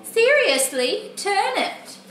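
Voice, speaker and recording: Cranky, pleading male storybook character played by a woman: high, melodramatic, very animated. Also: full character voice. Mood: scheming, exasperated. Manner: melodramatic, exclaiming, drawn-out pleading. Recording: roomy echo, faint background noise.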